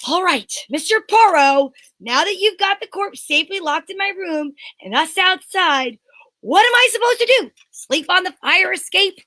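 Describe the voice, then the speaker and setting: A woman's voice: very high voice, expressive, normal speed, sharp tone, exaggerated voice. A woman playing a defensive, indignant female murder suspect, flustered and exclamatory, in old-time radio mystery style. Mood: sardonic, curious.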